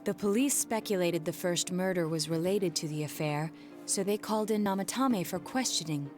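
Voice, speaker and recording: A woman's voice: lowish voice, lightly acted. Composed, analytical young detective voiced by a woman, music behind. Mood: analytical, serious. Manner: formal, precise, measured explaining. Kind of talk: English video game voice acting.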